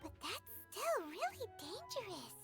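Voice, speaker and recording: A woman voicing a tiny, childlike fairy companion in a video game, squeaky, curious and excitable. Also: a little slow, vocal fry, loud music behind the voice, full character voice. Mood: concerned. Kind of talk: cartoonish video game voice acting.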